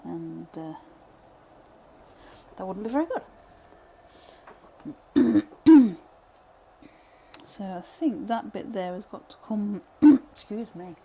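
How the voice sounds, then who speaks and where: A woman from Britain, teaching pyrography live, chatting and thinking aloud with many fillers while she works, her voice going a little hoarse. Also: medium pitch, slow pace, long pauses, warm tone, slight background noise. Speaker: pyrography instructor. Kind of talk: live tutorial chat while working.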